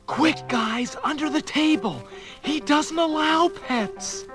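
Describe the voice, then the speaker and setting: A man playing a harried but kindly struggling songwriter, earnest and expressive, on a children's story record. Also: very high voice, takes it slowly. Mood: worried. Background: music.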